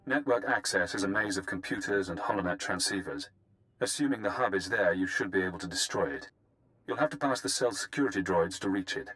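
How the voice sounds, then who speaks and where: An actor playing a cold, calculating spy handler in a video game, speaking in a clinical, measured, detached way over music. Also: male voice, low voice, fairly bright tone, smooth, rough recording. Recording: music under the voice.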